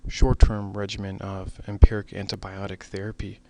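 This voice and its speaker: Male radiology lecturer, gravelly and flat, formal and measured in explanation. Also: fairly low pitch, low-quality recording. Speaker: radiology case presenter. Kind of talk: medical case lecture narration.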